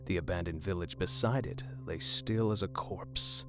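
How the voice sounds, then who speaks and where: Low-pitched man narrating dark fiction. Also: normal speed. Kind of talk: dramatic scripted horror narration. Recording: music bed under the narration.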